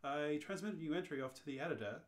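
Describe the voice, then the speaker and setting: An amateur reader playing a matter-of-fact, worldly alien hitchhiker with a dry, deadpan manner in a radio play. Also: medium pitch, fast, natural voice. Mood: dry, unfazed.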